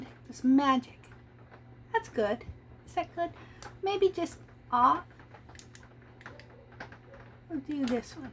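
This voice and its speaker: A woman's voice: high-pitched, fairly bright tone, slight background noise. A woman reading a picture book aloud to young children, playful and asking her listeners questions.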